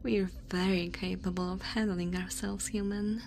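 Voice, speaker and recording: A woman voicing a cautious, curious alien researcher in a soft, precise, formal whisper for an ASMR roleplay. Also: medium pitch, flat delivery, moderate pace, vocal fry, close to an everyday voice. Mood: measured, cautious. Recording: noisy ambience behind close whisper.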